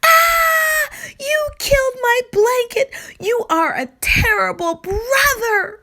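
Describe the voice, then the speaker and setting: A woman voicing a furious, indignant little girl in a children's storybook, loud, dramatic and shrieking. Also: slow, heavily acted. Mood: screaming fury. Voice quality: shrill, shrieking. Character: furious screaming little girl with a ruined blanket.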